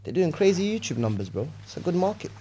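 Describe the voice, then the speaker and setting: A young man from West London with a London accent, a rapper chatting as a podcast guest, relaxed and rambling, often asking "you know what I'm saying". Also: sits high, quick pace, a little hiss or hum. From West London, England. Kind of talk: casual podcast chat.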